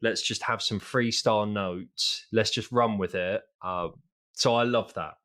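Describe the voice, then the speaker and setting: A man's voice: on the low side, fairly even intonation, measured pace, bright, forceful tone. A man hosting a podcast, interviewing a guest in a friendly, affirming way. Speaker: podcast host.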